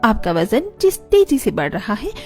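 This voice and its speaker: Woman acting a high, taunting cartoon wife. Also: big pitch swings, normal speed, darkish tone. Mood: mocking. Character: mocking, sharp-tongued friend's wife. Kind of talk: scripted cartoon story dialogue. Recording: music under the voice.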